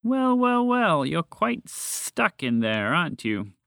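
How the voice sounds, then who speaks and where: A man voicing a helpful, wry female garter snake, speaking in a drawn-out, sardonic, teasing way. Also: a little high, sing-song, vocal fry, fairly bright tone, smooth, no echo, clean studio sound, pitched above the performer's own voice, full character voice.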